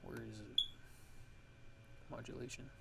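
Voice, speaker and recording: A man with a fairly low, very flat voice walks through a casual software tutorial. He rambles and pauses as he thinks aloud, with some gravel in his tone. Clear noise sits behind him. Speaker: music production tutorial host.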